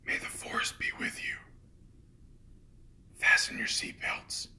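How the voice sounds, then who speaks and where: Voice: slow, pushed and bright. A host whispering a comedic parody of ASMR in a sarcastic, irreverent way, swearing freely. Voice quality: whispered throughout.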